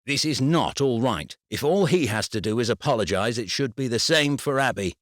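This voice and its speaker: A man voicing an angry father who argues in a heated, forceful way. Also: medium pitch, animated, moderate pace, no echo, studio quality, voice pushed up, lightly acted. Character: indignant father, loud and angry.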